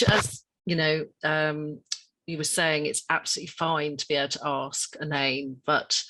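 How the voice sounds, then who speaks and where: Woman, bright sharp tone, flat delivery, video call, thoughtful. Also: rumbling low, poor audio. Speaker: webinar participant. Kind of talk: unscripted contribution in an online meeting.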